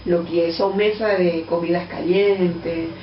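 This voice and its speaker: A woman's voice: on the low side, steady tone, slow pace, slight background noise. Angolan lady, portuguese accent, thinking aloud with lots of ums, sounds far off and a bit muffled. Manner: thoughtful, hesitant with many fillers. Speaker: interview guest, author. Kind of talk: radio interview. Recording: distant, roomy, dull lo-fi radio sound.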